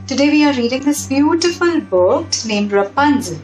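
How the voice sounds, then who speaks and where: A woman's voice: high voice, expressive, normal speed, a little mellow. A woman reading a children's story aloud, adding simple explanations and checking in with young listeners through questions. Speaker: storybook reader and narrator for children. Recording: roomy echo with background music.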